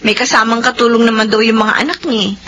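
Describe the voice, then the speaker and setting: A woman's voice: a little high, fairly even intonation, fast talker, background noise, close to an everyday voice. An actress playing a modest, reluctant and dutiful young woman in a Filipino radio drama. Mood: casual, dutiful.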